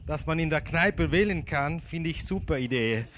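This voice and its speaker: A man who is not a native German speaker, giving a humorous talk at an event, halting with many fillers. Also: a little high, animated, a bit bright, slight background noise.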